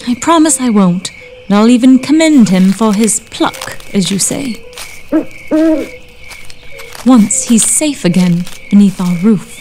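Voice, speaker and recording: A woman playing a fretful, fearful Victorian gentlewoman and mother in a period audio drama, with old-fashioned phrasing. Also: medium pitch, expressive, takes it slowly, long pauses, a little hiss or hum, poor audio. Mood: worried, conceding.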